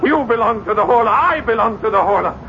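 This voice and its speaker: An actor playing a haunted, frantic gentleman in a 1970s radio drama, intense and obsessive. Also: very high-pitched, animated, fast talker. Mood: feverish, defiant. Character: haunted, frantic gentleman convinced an evil spirit enslaves him. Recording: muffled, very noisy old radio broadcast.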